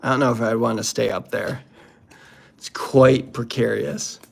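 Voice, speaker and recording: A man's voice: medium pitch, level tone, a little slow, creaky. A man hosting a casual unboxing commentary, talking in a playful, jokey, exclamatory way. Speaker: host opening card packs.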